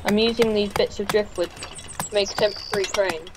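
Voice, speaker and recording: Determined young crane driver, a rookie lad played by a teenage girl, mid-pitched, plain radio-play delivery, noisy background. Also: fairly even intonation, moderate pace, close to an everyday voice. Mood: determined, urgent. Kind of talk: school radio play acting. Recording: clearly noisy background ambience.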